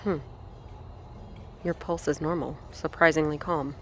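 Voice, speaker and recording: An actress playing a cold, detached female scientist who speaks calmly and formally in an audio drama. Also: sits low, unhurried, a little hiss or hum. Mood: cold, clinical. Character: cold, clinical female scientist, calm and unbending.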